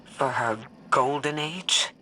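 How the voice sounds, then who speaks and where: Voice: mid-range voice, takes it slowly, pushed and bright, slight background noise, poor audio. An actor playing a serious, worried ally giving a briefing in a video game, earnest and concerned. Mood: troubled.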